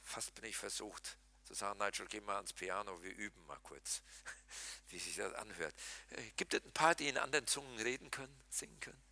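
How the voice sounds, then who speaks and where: A pastor preaching a lively sermon, talking straight to his congregation and mixing in jokes. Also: fairly low pitch, a little fast, vocal fry. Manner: animated, talks to the congregation directly, jokes and laughs.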